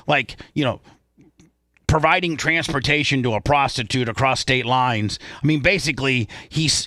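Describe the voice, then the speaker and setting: Bright, forceful male talk radio host. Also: medium pitch, dry recording. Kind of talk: casual talk radio discussion.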